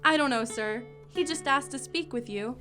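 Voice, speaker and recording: A woman's voice: high voice, normal speed, sharp tone. A woman playing a polite, welcoming young woman of a Victorian household in an audio drama. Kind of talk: theatrical audio drama acting. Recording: music playing behind the voice.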